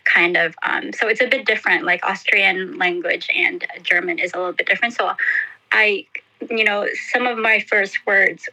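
A woman, a native Indonesian speaker, answering interview questions about growing up abroad in a hesitant, halting way with many fillers and restarts. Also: medium pitch, steady tone, bright, forceful tone, poor audio. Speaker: interview guest. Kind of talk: casual interview.

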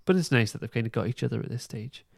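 A male podcast host with a mid-pitched, gravelly voice. He recaps a story casually and haltingly, feeling his way through sentences, and is recorded close and dry. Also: animated, dry recording. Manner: hesitant, halting, informal. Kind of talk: casual plot recap and review.

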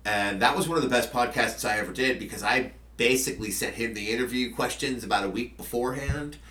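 A man's voice: mid-range voice, quick pace, pushed and bright, roomy sound, far from the mic. A man who works as a podcaster and journalist, talking casually about past interviews in a rambling way with many fillers and restarts.

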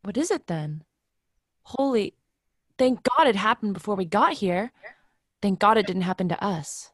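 Woman playing a slow, animated middle-aged clerk. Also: medium pitch, no room sound, studio quality. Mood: shocked relief. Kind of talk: readers' theatre play reading.

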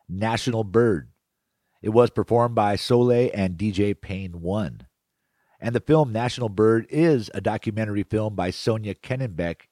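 A man's voice: fairly low pitch, a little mellow, dry recording. A man presenting a radio magazine show in scripted narration, close on the microphone.

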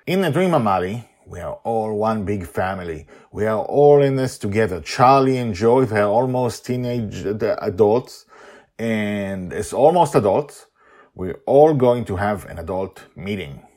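A man voicing an excitable, determined father who proclaims like a town crier in a storybook tale. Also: on the low side, pitched above the performer's own voice. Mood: earnest. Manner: loud, proclaiming, drawn-out calls.

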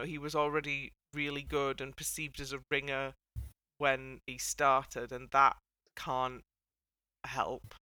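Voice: mid-range voice, bright, forceful tone, smooth, no echo. A podcast host chatting casually on a panel, with a wry, wordy, mock-formal way of putting things. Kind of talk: casual panel chat about a TV dance show.

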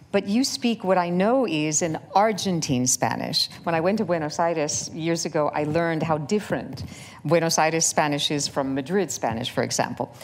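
Older woman moderator, low voice, measured and articulate questions. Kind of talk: onstage interview questions. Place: auditorium before a live audience.

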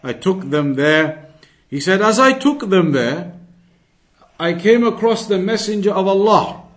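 A man's voice: sits high, expressive, unhurried. A man giving an Islamic lesson as a religious teacher, reciting and explaining narrations emphatically, often repeating phrases for clarity.

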